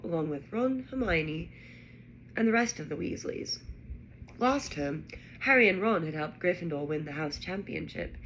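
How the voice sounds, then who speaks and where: A woman narrating a children's fantasy story aloud in an expressive reading style. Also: mid-range voice, slight background noise, low-quality recording. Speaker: audiobook-style reader.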